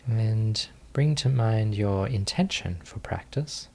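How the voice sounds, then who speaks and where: A man leading a guided meditation as a teacher, speaking gently and calmly, unhurried, with long pauses between phrases. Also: lowish voice, gravelly.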